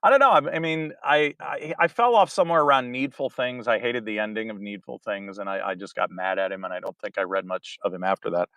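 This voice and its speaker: A man's voice: fairly low pitch, steady tone, brisk, few pauses, fairly bright tone, dry recording, low-quality recording. Chuckling West Virginian man, dry mic. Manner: rambling, chuckles between thoughts. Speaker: guest, audiobook narrator. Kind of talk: casual video-call interview.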